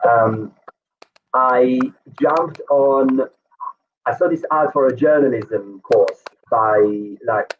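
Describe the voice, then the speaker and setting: A man in his thirties from Israel with an Israeli accent, a guest in an interview, telling his story casually with many fillers and restarts. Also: mid-range voice. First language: Hebrew. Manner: rambling, lots of fillers and restarts. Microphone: video call. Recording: distant, tinny call audio with faint noise.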